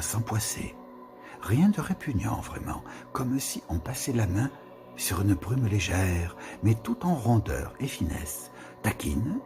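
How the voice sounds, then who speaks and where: Slow gravelly man reading over music. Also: fairly low pitch. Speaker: reader of a literary text.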